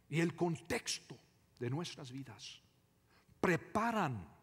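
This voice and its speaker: A man preaching a sermon as a pastor, emphatic and deliberate, often repeating his phrases. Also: a little high, a bit bright, slightly rough. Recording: reverberant hall with long echo.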